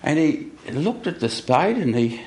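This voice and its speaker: A man's voice: fairly high pitch, very animated, unhurried, darkish tone, husky. A man telling stories and hosting informally in front of a live studio audience. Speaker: storyteller and live show host. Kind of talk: live storytelling and informal announcements. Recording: live radio studio, background noise.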